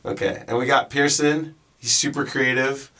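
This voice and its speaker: A young man hosting a casual podcast chat, relaxed and teasing as he steers the talk. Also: medium pitch, normal speed. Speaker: podcast host.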